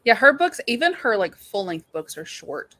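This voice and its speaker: A woman's voice: fairly high pitch, big pitch swings, normal speed, fairly bright tone. A woman co-hosting a live readalong show, chatting about books in an informal, slangy, emphatic way. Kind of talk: casual book chat.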